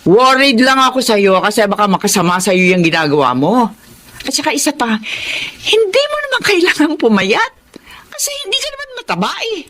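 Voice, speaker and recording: An actress playing a worried, scolding middle-aged aunt in a radio drama, nagging in an exasperated way. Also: female voice, on the high side, sing-song, a little fast, fairly bright tone.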